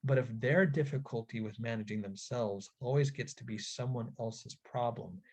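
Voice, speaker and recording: A man giving an instructional webinar talk to parents in an earnest, deliberate way, stressing key phrases. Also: mid-range voice. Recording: dull, lo-fi webinar audio.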